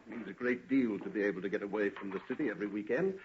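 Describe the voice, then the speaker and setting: An actor playing a courteous, inquisitive gentleman in an old radio drama, speaking in a polite, formal, probing manner. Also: on the low side, racing, a little hiss or hum, close to an everyday voice. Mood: genial. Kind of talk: 1940s radio acting. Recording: dull old radio recording.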